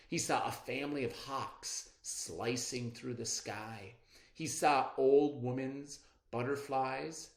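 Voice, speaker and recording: Children's read-aloud narrator: expressive, widely varied pitch, slow pacing, roomy echo. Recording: roomy, echoing sound.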